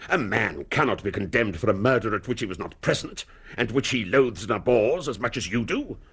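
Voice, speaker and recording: An actor in a radio period drama playing a proud, anguished upper-class English duke, formal and grave, halting under strain. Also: male voice, fairly low pitch, lively intonation, fairly bright tone, breathy edge. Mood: anguished pleading.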